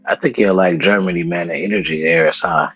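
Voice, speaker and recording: A man hosting a casual interview, relaxed and slangy, stumbling over restarts, on dull, dry lo-fi audio. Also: sits low, brisk, slightly rough, dry recording, faint music behind. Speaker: interview host.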